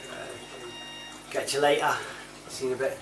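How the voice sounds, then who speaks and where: A man's voice: medium pitch, little pitch movement, moderate pace, a crowd in the background. A British man giving casual, informal commentary as the host of a home-made app review. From United Kingdom. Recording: echoey room, distant mic, faint noise.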